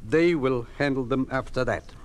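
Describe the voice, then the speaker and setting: An American actor playing a cold, officious regime official in a 1950s radio drama, formal and dismissive. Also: male voice, medium pitch, normal speed, a little hiss or hum, lightly acted. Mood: curt. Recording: old 1950s radio recording.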